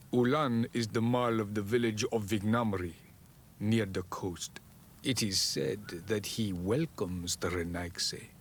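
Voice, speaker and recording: An actor playing a wise, solemn elder of an island tribe in a fantasy game, speaking in a measured, ceremonial way. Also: male voice, fairly low pitch, slight background noise. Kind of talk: fantasy video game cutscene acting.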